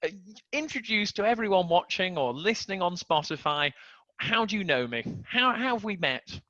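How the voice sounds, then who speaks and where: A man's voice: sits high, expressive, normal speed, bright, forceful tone, no echo, muffled recording. A man hosting a casual video podcast, joking and stumbling over restarts as he talks. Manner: chatty, jokey, stumbling restarts. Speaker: podcast host.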